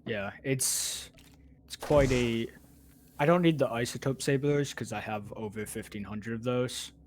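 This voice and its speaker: Male online player, medium pitch, relaxed conversational chat, slight background noise. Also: unhurried. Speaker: player in a gaming group. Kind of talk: casual gaming voice chat.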